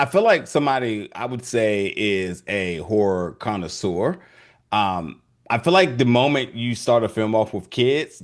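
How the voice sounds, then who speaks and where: A young man hosts a relaxed video interview, his voice at an ordinary pitch for a man. He talks in loose, rambling runs, circling back and restarting his questions over and over. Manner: rambling, with many restarts and fillers.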